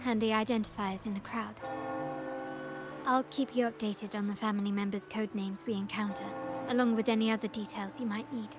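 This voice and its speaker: An actress playing a composed, elegant young woman of a crime family in a video game, measured and articulate. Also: mid-range voice, steady tone, lightly acted. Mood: cool, poised. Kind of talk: video game character acting. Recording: game music underneath.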